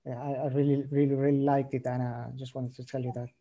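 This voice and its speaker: A man's voice: mid-range voice, level tone, fast, mellow, lo-fi sound. A young man, speaking as a panelist on a video call, thoughtful and hesitant with restarts. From Venezuela. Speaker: panelist writer. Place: home room, indoors. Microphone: video call, earphone mic.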